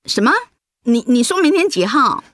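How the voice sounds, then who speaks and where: A woman's voice: fairly high pitch, big pitch swings, moderate pace, a bit bright, dry recording, studio quality. A woman playing an affectionate, emotional wife and grandmother with a Taiwanese Mandarin accent in a radio drama. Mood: surprised, reflective. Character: retired grandmother, affectionate, emotional breast cancer survivor.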